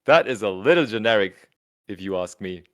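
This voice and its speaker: A man's voice: fairly low pitch, expressive, brisk. A man with a German accent doing casual livestream commentary, chatting with his viewers, reading their messages aloud and laughing often. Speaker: tournament co-commentator.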